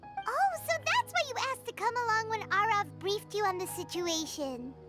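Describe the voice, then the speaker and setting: A woman voicing a small, chirpy, nosy fairy companion in a video game, with a squeaky childlike voice. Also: lively intonation, moderate pace. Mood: curious. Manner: refers to self in third person, exclaiming. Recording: loud music under the voice. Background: game music.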